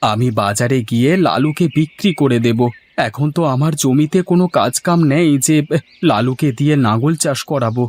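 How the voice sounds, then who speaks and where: A man's voice: medium pitch, moderate pace, natural voice. A man voicing a poor, caring village farmer in a moral-story cartoon, earnest and plaintive. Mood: resolved.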